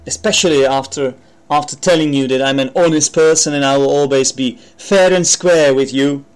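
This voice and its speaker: A man's voice: mid-range voice, moderate pace, smooth. Man, Czech accent, non-native English teacher, podcast lesson, chatty, hesitant restarts. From Czech Republic. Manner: chatty, many fillers and restarts, self-mocking.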